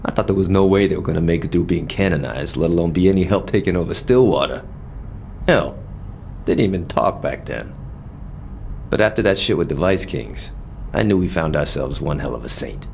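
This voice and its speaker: Very deep, gravelly American gangster. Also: male voice, expressive, a little fast, a little mellow, a little hiss or hum. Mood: frank, loyal. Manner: streetwise, candid. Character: loyal, battle-worn male street gang lieutenant. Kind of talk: scripted game audio log monologue.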